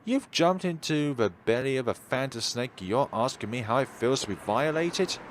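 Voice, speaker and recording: A man's voice: medium pitch, lively intonation, moderate pace, a little hiss or hum, voice pushed up, lightly acted. A man voicing a small, stubborn, hot-headed young woman who talks in blunt, indignant slang. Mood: annoyed.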